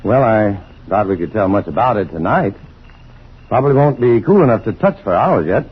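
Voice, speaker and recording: A man's voice: lowish voice, lively intonation, normal speed, breathy edge. A man acting in a radio drama as a genial scientist, speaking in an easygoing, conversational way. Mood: genial, relaxed. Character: genial male scientist camping in the woods. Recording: dull, noisy old radio drama recording.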